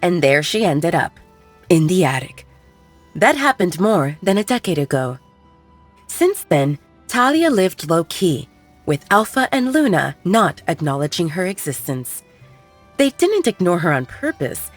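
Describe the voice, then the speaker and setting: A woman narrating a scripted fiction story in a measured, expressive storytelling manner. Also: on the low side, soft background music. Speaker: audiobook narrator.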